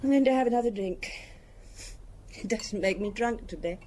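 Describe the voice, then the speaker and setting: An actress plays a wealthy woman of forty who drinks hard and has killed. Her voice is soft-edged and dark, worn flat with bitter resignation. It is radio drama, with light ambient noise around her. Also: on the high side, moderate pace, close to an everyday voice. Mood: weary, defiant.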